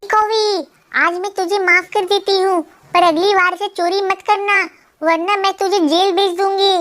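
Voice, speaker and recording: Squeaky, very high cartoon sparrow. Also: female voice, fairly even intonation, measured pace, a bit bright. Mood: indignant. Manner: exclamatory, dramatic. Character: female cartoon sparrow shopkeeper, hardworking, excitable, easily aggrieved. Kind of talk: children's cartoon dubbing.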